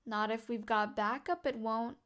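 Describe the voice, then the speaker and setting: A high, youthful girl's voice acted by a woman for a comic dub. She is a small vigilante daughter, eager and tough, with a mouthy edge. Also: fairly even intonation, moderate pace, fairly bright tone, natural voice. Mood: quiet, curious.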